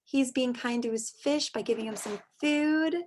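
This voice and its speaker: A woman's voice: a little high, moderate pace, slight background noise. A woman teacher reading a picture book aloud to young children, expressively and in simple words, with chatty asides.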